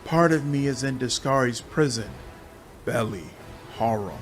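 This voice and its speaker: A man voicing a captive, hungry female demon swarm of many bodies in game dialogue, intoning words one by one in a halting manner. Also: mid-range voice, animated, faint music behind, natural voice. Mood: anguished.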